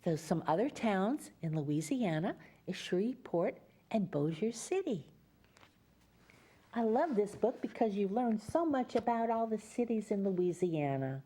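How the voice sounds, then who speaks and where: Slow, mellow woman storyteller, lively pitch. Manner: explains pictures and places to young listeners. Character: Mother Goose, a kindly storybook storyteller. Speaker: children's storyteller and host. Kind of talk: reading a picture book aloud with chatty asides.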